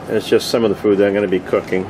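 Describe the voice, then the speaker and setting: A man giving a casual video tour in short, halting, plainspoken phrases, recorded outdoors on a boat deck with a handheld camera. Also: lowish voice, level tone. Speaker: host of a cooking vlog. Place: open deck of a boat on the water. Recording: outdoor ambient noise.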